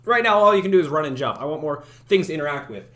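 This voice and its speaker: A young man streaming his game development, chatting casually and thinking out loud as he works, often correcting himself. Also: on the high side, animated, racing. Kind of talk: casual live-stream chat while working.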